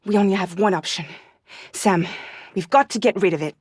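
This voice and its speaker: An actress playing a guarded, wry woman courier in a post-apocalyptic video game, with soft, breathy, measured and deadpan delivery. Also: mid-range voice, no room sound, clean studio sound, lightly acted. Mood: urgent, resolute. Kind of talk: cinematic video game acting.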